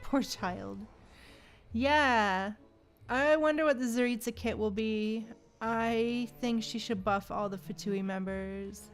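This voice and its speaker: A woman's voice: fairly high pitch, slow, faint music behind. A woman hosting a casual gaming livestream, chatting with viewers and thinking aloud in a playful, conversational way. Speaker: livestream host.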